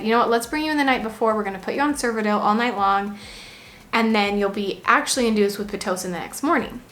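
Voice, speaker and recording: A woman in her twenties from the United States, chatting casually to camera in a pregnancy vlog, laughing often and pausing to breathe through contractions. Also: a little high, fairly bright tone. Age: 20s. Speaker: vlogger.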